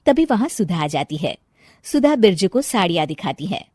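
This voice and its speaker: A woman's voice: medium pitch, sing-song, moderate pace, warm tone, breathy edge, no room sound, clean studio sound. A woman narrating a scripted story as its storyteller.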